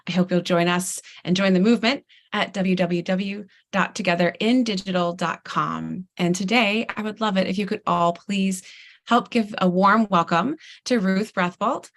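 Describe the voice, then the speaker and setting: A woman hosting an interview, her voice slightly raspy and strained as if starting to go. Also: medium pitch, steady tone, moderate pace. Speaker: podcast host. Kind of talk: warm-up introduction and interview chat.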